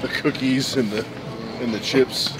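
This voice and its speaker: A man's voice: mid-range voice, steady tone, unhurried, noisy background. A young man chatting casually while shopping in a big grocery store, rambling and repeating words as he explains his eating habits. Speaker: bodybuilder showing his grocery run. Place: large indoor grocery store.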